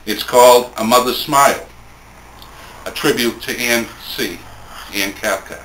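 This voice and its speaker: Man with bright voice in echoey room. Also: medium pitch, measured pace. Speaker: speaker reading a late friend's poems at a tribute. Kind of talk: poetry reading with informal spoken introductions. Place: indoor room at a live poetry event. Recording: roomy, echoing event recording with faint noise.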